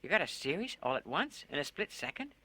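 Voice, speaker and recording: A man voicing a patient male psychiatrist who speaks in a measured, soothing way and asks probing questions. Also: a little high, very animated, pushed and bright, heavily acted.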